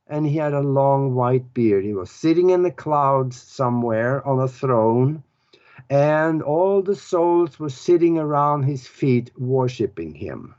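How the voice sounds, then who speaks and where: A man speaks in a fairly high register at a very slow, unhurried pace. He explains spiritual ideas earnestly and often pauses to search for words. The recording sounds dull and lo-fi. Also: darkish tone. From Norway. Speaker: author and spiritual teacher.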